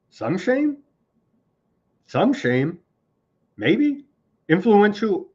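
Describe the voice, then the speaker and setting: A man's voice: on the high side, husky, muffled recording. A man hosting a live online news commentary show, sarcastic and scornful as he rants through the headlines. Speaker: political commentary host.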